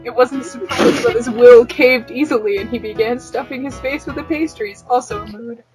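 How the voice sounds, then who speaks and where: A woman's voice: on the high side, moderate pace, a little mellow, rough recording. A woman reading fiction aloud as a podcast host, playful, slipping in wry comments of her own. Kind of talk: informal read-aloud narration with banter. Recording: guitar music behind the voice. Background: plucked guitar music.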